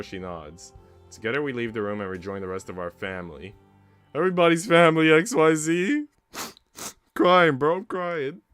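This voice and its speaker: A man's voice: fairly low pitch, fairly bright tone, clean tone. A man streaming a visual novel, mixing slangy, casual reactions with reading the narration aloud. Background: game music.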